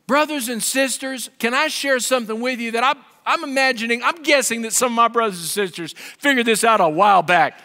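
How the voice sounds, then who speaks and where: A man, a pastor preaching an animated sermon on a large stage into a headset mic. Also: fairly quick, few pauses. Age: 50s. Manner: animated, gesturing preacher with wide swings in pitch and volume. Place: large dark stage with a pulpit. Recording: long hall reverb.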